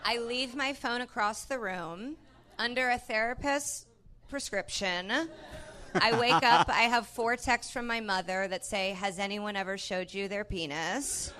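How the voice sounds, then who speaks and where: A woman joking casually in a deadpan way as a comedian guest on a live panel. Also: mid-range voice, unhurried. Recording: live stage with clear background noise.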